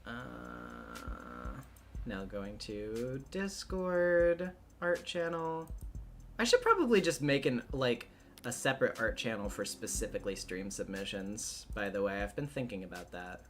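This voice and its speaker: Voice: deep voice, sing-song, moderate pace. A livestreamer and voice actor with a male-range voice, chatting casually in a hesitant, thinking-aloud way.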